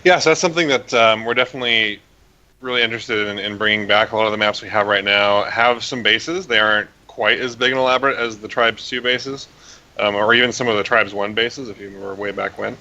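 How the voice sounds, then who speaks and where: A tired game developer, a man whose voice is a little raspy, rambling with many fillers as a guest on a call. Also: lowish voice, steady tone, a little fast, sharp tone. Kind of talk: casual interview over call. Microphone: remote voice call. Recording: dull hissy call audio.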